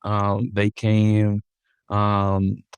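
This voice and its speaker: A man from the Dominican Republic, a podcast guest, talking in a relaxed, thoughtful way with frequent ums and restarts, mixing English and Spanish. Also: fairly low pitch, very slow, smooth, no echo. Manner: thoughtful, many ums and self-corrections. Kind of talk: casual interview, switching between English and Spanish.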